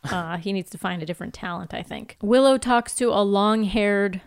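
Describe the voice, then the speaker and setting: A woman's voice: medium pitch, moderate pace, clear, resonant tone. Female co-host for a chatty TV recap podcast; casual, teasing, given to mock objections. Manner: playful, mock-protesting. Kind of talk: casual banter and TV episode recap.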